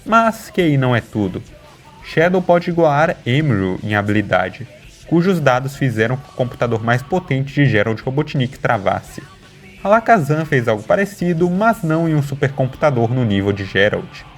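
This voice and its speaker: A man's voice: medium pitch, big pitch swings, moderate pace. Brazilian man narrating over music. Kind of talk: scripted narration, versus-battle analysis. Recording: music behind the voice. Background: background music.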